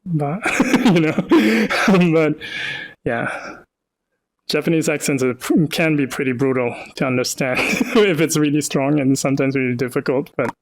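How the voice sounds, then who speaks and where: A man's voice: fairly high pitch, unhurried. A man from Hamburg with a light North German accent, chatting casually and explaining his work while he animates on a live stream. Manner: easygoing, laughs often, explains patiently. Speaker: artist hosting a live stream.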